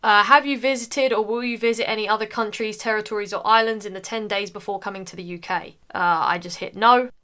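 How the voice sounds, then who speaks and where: A woman's voice: mid-range voice, fairly even intonation, brisk, few pauses, pushed and bright, clear, resonant tone. A young woman from the United Kingdom talking casually through an online form, with frequent "uh" fillers. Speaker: tutorial narrator. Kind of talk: casual screen-recording walkthrough.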